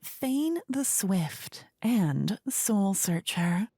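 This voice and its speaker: A woman voicing a flippant, gleeful queen in a soft-spoken fantasy ASMR roleplay, playful and condescending in a theatrical way. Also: medium pitch, very animated, drawn-out words, slight background noise, full character voice. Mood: playful, commanding. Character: gleeful, flippant queen presiding over her fantasy court.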